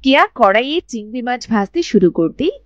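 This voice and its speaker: A woman's voice: a little high, animated, quick pace, dry recording, dull audio. A woman narrator telling a scripted children's-storybook style tale.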